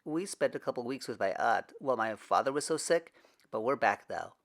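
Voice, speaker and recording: A man voicing a thoughtful young man who speaks quietly and reflectively in a storybook reading. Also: mid-range voice, animated, fairly quick.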